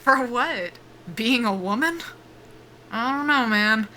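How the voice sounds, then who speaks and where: A woman's voice: on the high side, expressive, takes it slowly, bright, forceful tone, slight background noise, full character voice. A woman acting a nervy, foul-mouthed young soldier in a sci-fi audio drama, wry and defiant in a casual, joking way. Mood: sardonic, defiant. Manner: sardonic, casual, sweary, joking under nerves.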